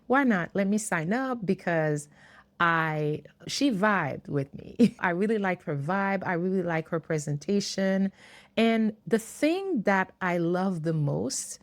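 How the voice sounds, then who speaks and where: Woman, fifties, English not her first language, low voice, earnest, reflective storytelling to camera. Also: animated, slow. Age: 50s. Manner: earnest, reflective, with light laughs. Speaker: YouTuber.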